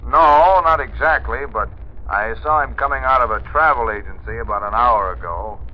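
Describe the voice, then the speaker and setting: A man's voice: medium pitch, normal speed, lightly acted. An actor playing a helpful newspaperman in 1930s radio style, casual and a little hesitant. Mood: casual, hedging. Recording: muffled, noisy 1930s radio recording.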